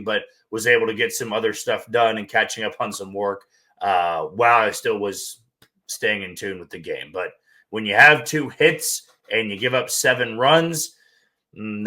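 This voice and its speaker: Man, hoarse and losing his voice, sports podcast host, bright forward tone, frank commentary. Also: on the low side. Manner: talks straight through with frank, plain opinions.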